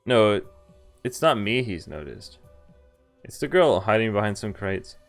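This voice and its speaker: A man's voice: fairly low pitch, very animated, unhurried. A man streaming a game, reading visual novel text aloud and adding casual, joking commentary. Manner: amused, joking asides. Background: game music.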